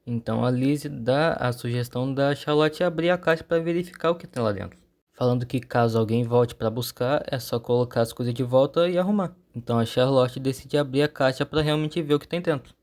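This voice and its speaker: A man's voice: medium pitch, fairly quick, a little mellow. A man with a Brazilian accent telling a story as a channel host, in an informal scripted narration.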